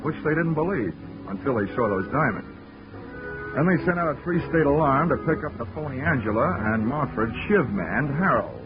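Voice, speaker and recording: A man's voice: medium pitch, close to an everyday voice. An actor playing a wisecracking male insurance investigator, narrating in a dry, wry, hard-boiled way in a 1940s radio drama. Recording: tinny 1940s radio broadcast with music.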